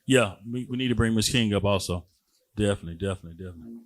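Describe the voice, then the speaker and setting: A man's voice: on the low side, racing. A man moderating a community panel, informal and direct, calling guests up and asking them for their stories.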